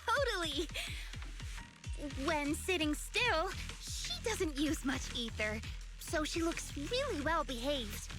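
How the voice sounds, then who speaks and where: A woman voicing an earnest young illusionist in an anime-style video game, speaking in a gentle, thoughtful, explanatory manner. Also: high voice, sing-song, slow, full character voice. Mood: sheepish, earnest. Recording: music behind the voice. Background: background music.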